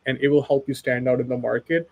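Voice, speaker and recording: A man from Pakistan talks through his ideas in a relaxed webinar conversation. He sounds earnest and persuasive and leans on fillers like "like" and "right?" as he goes. His pitch sits in a middle range. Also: fast talker, phone-quality sound. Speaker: expert guest.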